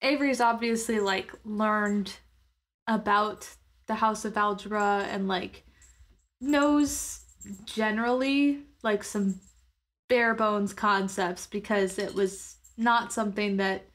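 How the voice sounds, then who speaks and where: A woman's voice: mid-range voice, steady tone, takes it slowly, fairly bright tone. A woman playing a tabletop roleplaying game, casually describing her character's actions in a thoughtful, hesitant way. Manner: thoughtful, hesitant, searching for words.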